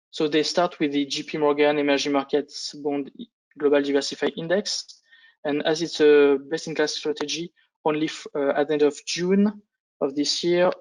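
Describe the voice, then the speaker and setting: A man who speaks English as a second language gives a business presentation on a conference call, with halting delivery and frequent false starts. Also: fairly high pitch, rough recording. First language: not English. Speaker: fund analyst presenting on a panel.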